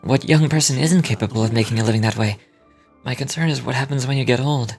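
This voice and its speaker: A man's voice: medium pitch, quick pace, husky, soft background music. A man playing a worried, stubborn middle-aged father and tea merchant in video game dialogue, speaking earnestly and wistfully. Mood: anxious, regretful.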